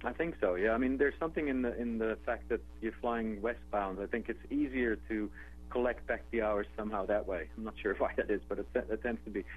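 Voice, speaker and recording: A man's voice: fairly low pitch, brisk, husky. Dutch-accented man on a tinny phone line. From Netherlands. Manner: relaxed, conversational, with filler words and restarts. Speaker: guest, travel company executive. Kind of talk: phone interview on radio. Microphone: telephone.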